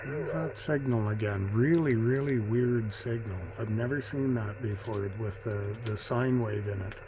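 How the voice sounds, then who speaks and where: A man with a middling-pitched voice narrates casually, like a hobbyist sharing his pastime. He pauses and muses as he goes, with faint radio noise behind him. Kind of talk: casual off-the-cuff commentary. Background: shortwave radio noise.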